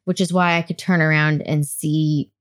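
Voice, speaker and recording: A woman's voice: low voice, steady tone, moderate pace. A woman hosting a podcast who tells stories in casual chat, laughing often and acting out quotes. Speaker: podcast host. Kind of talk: casual chat, animated storytelling.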